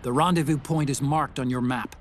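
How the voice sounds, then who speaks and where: Game role, male actor: friendly techie giving mission support, medium-pitched voice, clear explanatory delivery, ambient noise behind. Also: fairly even intonation, fairly quick, natural voice. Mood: earnest, informative. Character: Otacon, earnest brainy male tech engineer, mission support. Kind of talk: video game cutscene acting, mission briefing.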